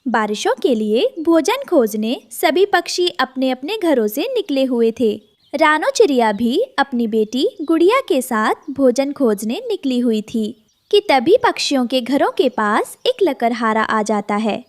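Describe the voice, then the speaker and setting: A woman's voice: high voice, sing-song, unhurried, no echo. A woman narrating a scripted children's cartoon story.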